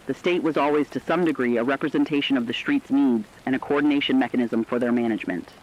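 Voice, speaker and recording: A man playing a solemn, compassionate radio broadcaster, delivering a scripted monologue earnestly and deliberately. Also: mid-range voice, muffled recording, close to an everyday voice. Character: solemn, compassionate male broadcaster chronicling a collapsing world.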